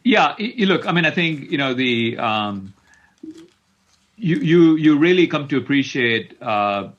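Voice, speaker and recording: A man's voice: fairly high pitch, very animated, unhurried, muffled recording. A middle-aged man from Kenya answering as an interview guest, repeating words as he thinks aloud. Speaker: tech company CEO, interview guest.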